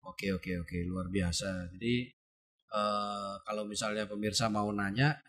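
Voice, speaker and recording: Brief: male host, husky tone, casual interview, quick agreeing backchannels and short prompts. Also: fairly low pitch, steady tone, a bit bright.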